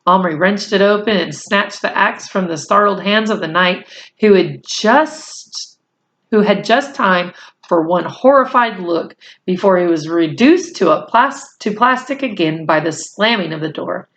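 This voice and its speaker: A woman reading a children's novel aloud in a deliberate, measured storytelling manner. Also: mid-range voice, lively intonation, a bit bright. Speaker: storybook reader.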